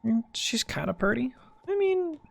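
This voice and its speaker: A man's voice: very high-pitched, very animated, moderate pace, vocal fry. A young man giving casual, sarcastic commentary while streaming a video game, often muttering asides.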